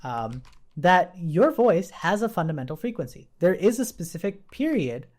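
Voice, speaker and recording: A man gives an informal programming lesson, thinking aloud as he works. His delivery is explanatory and very varied in pitch. He regularly checks in with the listener as he explains each step. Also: sing-song, moderate pace, a little mellow. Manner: explanatory, often checks in with "right?". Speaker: programming instructor.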